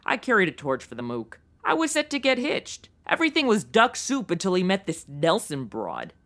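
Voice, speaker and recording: An actress playing a brassy, world-weary 1950s bar hostess in a radio noir parody, slangy and familiar. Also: female voice, medium pitch, wildly swooping pitch, moderate pace, fairly bright tone. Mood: weary, frank.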